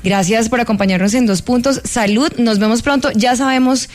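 A woman's voice: a little high, fairly quick. A woman with a Colombian accent, hosting and interviewing in a conversational broadcast style. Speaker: show host, interviewer.

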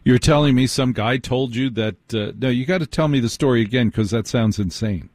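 An American man hosting a live call-in radio show, blunt and sardonic, quick to cut in. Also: mid-range voice, darkish tone, no room sound.

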